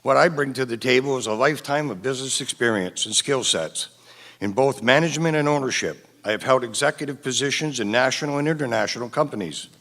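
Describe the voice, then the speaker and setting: A man, a city council candidate, giving a formal, deliberate opening speech to the room. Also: medium pitch, fairly bright tone. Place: council chamber debate.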